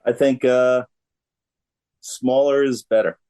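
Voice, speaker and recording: Male interview guest, mid pitch, slow delivery, casual chat. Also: steady tone, mellow.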